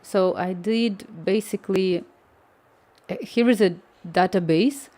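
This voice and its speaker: Softly mellow woman coding live. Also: mid-range voice, takes it slowly. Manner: thinking aloud, reading chat, many restarts. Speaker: coding streamer. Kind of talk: live-stream coding chat.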